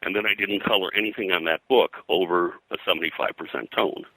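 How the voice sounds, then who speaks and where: A man's voice: low voice, fast, bright, forceful tone, no echo, rough recording. An older man reminiscing as an interview guest, explaining things in a discursive way and often breaking off to rephrase. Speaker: interview guest, veteran comic book colorist.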